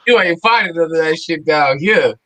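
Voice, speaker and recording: A man's voice: fairly high pitch, animated, normal speed, fairly bright tone. A man talking in slangy, profane street style during a casual chat.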